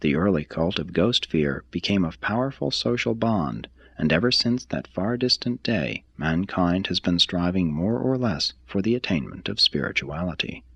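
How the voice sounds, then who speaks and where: A man reading a written text aloud like an audiobook, in a measured, formal, deliberate manner. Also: deep voice, animated, creaky, a bit bright, slightly rough, no room sound. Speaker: reader of a text. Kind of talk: scripted reading, audiobook-like narration.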